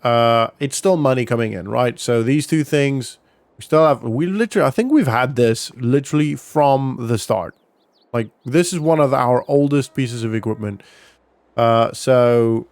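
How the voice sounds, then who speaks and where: A man's voice: medium pitch, no room sound. A man narrating a roleplay gaming vlog in a casual, rambling way, as if thinking aloud. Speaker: gaming vlogger.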